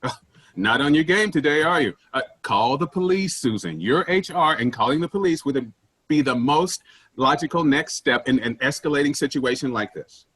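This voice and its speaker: Man playing a pompous, husky, high-pitched office boss. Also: animated, normal speed, fairly bright tone, dry recording. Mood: sarcastic, commanding. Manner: argumentative, pompous. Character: overbearing, self-important male office general manager. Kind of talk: staged play reading.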